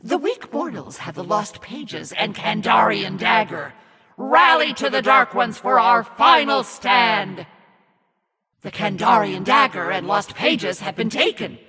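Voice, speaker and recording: A man voicing a menacing, scornful demonic narrator in a video game, commanding and mocking in a theatrical, raspy delivery. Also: high-pitched, very animated, unhurried, bright, forceful tone, heavily acted. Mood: menacing, furious. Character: demonic evil narrator, menacing, scornful, imperious servant of darkness. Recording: long reverb over music.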